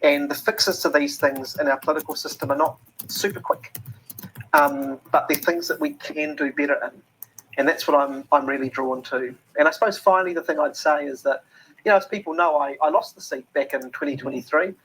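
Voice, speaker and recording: A man's voice: a little high, fairly quick, a bit bright, husky, rough recording. A man with a New Zealand accent, an interview guest talking earnestly with many ums and restarts. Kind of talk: reflective interview talk.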